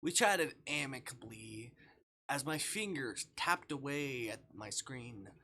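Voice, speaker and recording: A man's voice: medium pitch, lively intonation, takes it slowly, bright, forceful tone. A man live-streaming a game, reading a visual novel aloud dramatically with playful asides.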